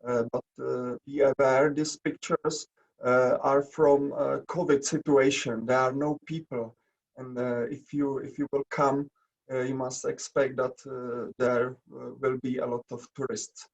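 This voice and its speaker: A man from the Czech Republic with a Czech accent, presenting slides in a webinar in halting English full of pauses and restarts. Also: mid-range voice, flat delivery, creaky, low-quality recording. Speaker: webinar presenter, nursing educator. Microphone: video call.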